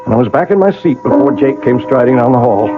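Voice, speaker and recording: An actor playing an obsessed, guilt-ridden male clockmaker in a radio drama, with an intense, halting, theatrical delivery. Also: mid-range voice, expressive, natural voice. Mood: haunted, tense. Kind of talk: 1980 radio drama acting, first-person narration. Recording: lo-fi radio broadcast, music behind.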